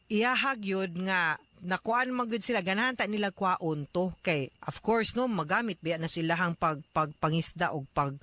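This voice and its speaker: Bright-voiced man giving chatty advice. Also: sits high, dry recording. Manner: chatty, mixing in English, frequent tag questions. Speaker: radio advice host. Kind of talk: casual advice talk answering a letter.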